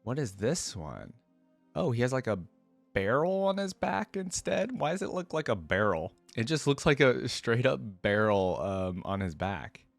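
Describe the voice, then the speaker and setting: A man's voice: medium pitch, big pitch swings, unhurried, gravelly, no room sound, faint music behind. A man hosting a reaction video, thinking aloud in casual, conversational commentary and sometimes reading from web pages. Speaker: reaction video host and streamer.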